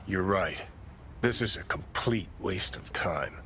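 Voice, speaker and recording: A man's voice: low voice, slow pace, fairly bright tone. An actor voicing a cynical, sarcastic, foul-mouthed man in a video game, with noise behind the voice. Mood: sardonic. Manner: brash, sardonic.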